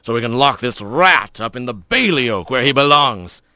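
A man voicing a stern, gruff father mouse who leads his clan, giving clipped orders. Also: medium pitch, animated, moderate pace, pushed and bright, dry recording, voice pushed down. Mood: angry.

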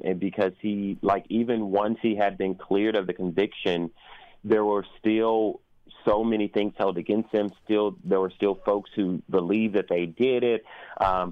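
Male interview guest, American, deep voice, reflective searching delivery, close dry sound with slightly muffled top end. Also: dry recording. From United States. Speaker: opera singer and interview guest. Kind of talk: conversational radio interview.

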